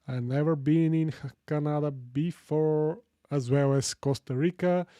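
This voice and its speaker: A man from Sofia with a Bulgarian accent hosting a casual live tech tutorial, chatting with viewers in a halting way and repeating phrases for emphasis. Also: on the high side, fairly even intonation, warm tone, dry recording, studio quality. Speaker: live stream host.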